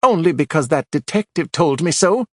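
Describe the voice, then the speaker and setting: A man's voice: moderate pace, creaky, no echo, studio quality. A man voicing an indignant, haughty older widow testifying on a witness stand. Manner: shrill, imperious, snappish.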